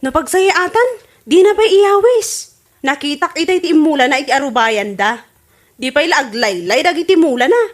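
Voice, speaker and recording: A high-pitched, boastful Ilocano wife played by a woman in a comic radio drama. She nags and envies the neighbours, her pitch swinging widely. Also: lively intonation, normal speed. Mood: grumbling. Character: boastful, nagging wife jealous of neighbour's garden.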